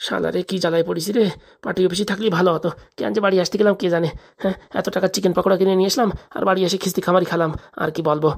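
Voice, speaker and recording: Henpecked cartoon husband, talking very fast acted out. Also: male voice, high voice, fairly even intonation, creaky. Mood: weary, self-pitying. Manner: protesting, plaintive, placating. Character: henpecked, exasperated husband, a small-time village politician. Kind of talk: comic cartoon voice acting.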